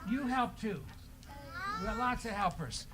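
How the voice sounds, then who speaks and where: A man's voice: high voice, animated, measured pace, pushed and bright, soft background music. A man leading a children's time at church, reading aloud and chatting to the children in a playful way, with questions and simple instructions.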